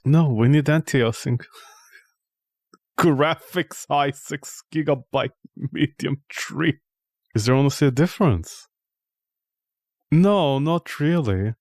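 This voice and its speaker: A man's voice: on the high side, slow pace, long pauses, a little mellow, dry recording. A man doing live game commentary, talking to himself in a sarcastic, exclamatory way. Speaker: gaming streamer.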